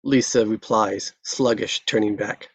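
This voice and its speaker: A narrator reading screenplay stage directions at a table read, in scripted present-tense narration with a husky voice. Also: medium pitch, fairly even intonation, measured pace.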